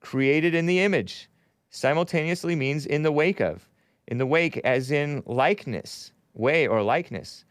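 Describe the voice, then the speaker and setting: Man, talk show host, argumentative, reads viewer chats, smooth clear voice, dry close sound. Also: fairly high pitch, measured pace, no echo. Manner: argumentative, quotes scripture, chuckles while reading.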